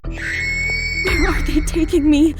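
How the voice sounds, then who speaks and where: A woman's voice: extremely high pitch, huge pitch swings, takes it slowly, raised voice, loud music behind the voice, full character voice. A woman playing an anxious, devoted young mother in an audio drama, emotional and breathless. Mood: desperate.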